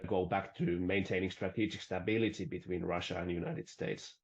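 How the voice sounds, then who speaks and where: A man's voice: deep voice, flat delivery, normal speed. A man from Finland talking hesitantly as a guest in a political discussion, on dull lo-fi audio. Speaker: interview guest.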